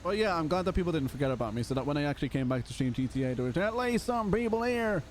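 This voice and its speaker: This is a young man whose voice is worn hoarse from a long stream. He sits slightly higher in pitch than most men and chats casually, laughing often. A faint hum of noise sits under him. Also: animated, very fast. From Liverpool, England. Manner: chatty, laughs often, calls people brother. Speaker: streamer playing a roleplay game. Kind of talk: casual live-stream chat.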